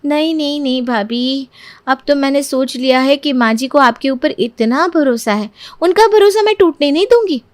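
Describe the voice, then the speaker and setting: A coy, stubborn young daughter-in-law from a cartoon tale, acted by a woman. Her voice is very high and mock-innocent, recorded close and dry. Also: moderate pace, dry recording, natural voice. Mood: refusing. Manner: mock-innocent, self-justifying. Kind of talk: cartoon moral story voice acting.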